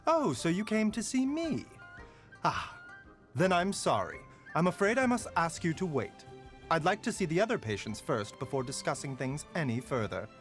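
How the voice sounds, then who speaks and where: An actor playing a calm, courteous male doctor in a game, with a soft, measured way of talking. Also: on the high side, faint music behind. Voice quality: soft, measured, sighs and chuckles. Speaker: game character voice lines. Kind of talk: game voice lines.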